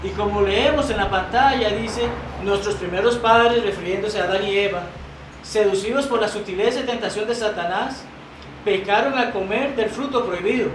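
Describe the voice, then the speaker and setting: A high-pitched man delivers a sermon, earnest and building to emphatic repeated lines. He sits back from the mic in a roomy church, and a clear hum of noise runs under his voice. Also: normal speed. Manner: earnest, rising to emphatic repetition; reads quoted doctrine aloud, with restarts. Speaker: preacher. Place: church sanctuary. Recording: roomy church sound, mic at a distance, noisy.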